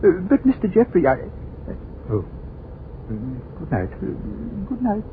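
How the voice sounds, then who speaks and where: A man's voice: high-pitched, wildly swooping pitch, a little slow, full character voice. An actor playing a fussy, pious village clergyman, hesitant and sanctimonious, in an old-time radio drama. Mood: flustered. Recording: noisy, tinny vintage radio recording.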